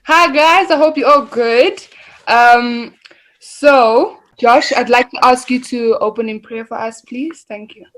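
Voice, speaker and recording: Slow-spoken young woman leading a sermon. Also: on the high side, fairly bright tone, rough recording. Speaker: youth sermon leader. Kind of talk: informal sermon introduction.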